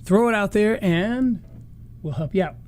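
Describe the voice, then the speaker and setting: A man's voice: high-pitched, unhurried, darkish tone. A man hosting a live marketing session, talking loosely and rambling with restarts, starting to lose his voice. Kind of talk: casual live-stream wrap-up.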